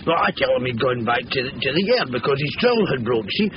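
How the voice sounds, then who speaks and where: An actor playing a chatty, nervy Scottish working-class labourer who rambles colloquially in a 1970s British radio comedy thriller. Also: male voice, medium pitch, big pitch swings, fast talker, lightly acted. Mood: rambling, aggrieved. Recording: muffled, noisy old radio broadcast.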